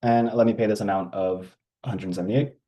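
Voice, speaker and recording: Male demo presenter, low and very mellow, informal explanatory walkthrough delivery. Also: fairly even intonation, a little fast, muffled recording. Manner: explanatory, step by step. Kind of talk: informal walkthrough of a software screen.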